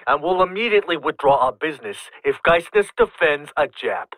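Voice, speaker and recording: A man's voice: fairly high pitch, expressive, normal speed, a bit bright, no room sound, pitched above the performer's own voice, rough recording. An actor playing a hostile, bigoted male union boss who speaks curtly and with threat. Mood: threatening. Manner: curt, threatening.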